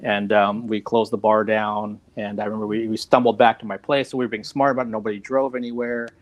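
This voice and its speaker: A man's voice: fairly low pitch, little pitch movement, fast talker, highs cut off. A man in his fifties chatting casually on a video call, rambling and self-deprecating and laughing often. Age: 50s. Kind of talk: casual chat.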